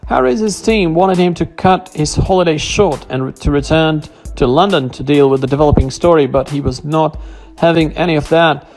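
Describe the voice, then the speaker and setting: A man's voice: a little high, moderate pace, a little mellow. A man reading a scripted royal news narration, stumbling now and then as he reads. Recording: music behind the voice. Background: background music.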